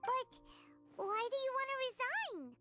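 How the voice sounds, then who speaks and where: Squeaky bubbly fairy sidekick. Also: female voice, very animated, unhurried, music in the background, heavily acted. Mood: chirpy. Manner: bubbly, speaks of herself by name. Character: tiny chirpy female fairy companion. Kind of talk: video game voice acting.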